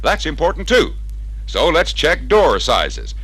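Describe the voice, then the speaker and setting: American guy doing old 60s sales film narration off a record, punchy and bright, really lively pitch. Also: slow pace, slightly rough, dry recording. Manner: persuasive, emphatic announcer delivery. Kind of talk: scripted 1960s sales narration. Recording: vintage 1961 vinyl record sound.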